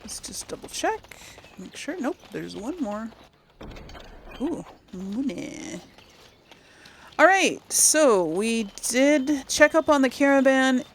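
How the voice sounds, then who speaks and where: Casual female let's-play host, unhurried and slightly creaky, muses aloud with lots of pitch movement. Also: expressive, a little hiss or hum. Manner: thinks aloud, muttering to herself. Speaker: gaming channel host. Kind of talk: casual game playthrough commentary.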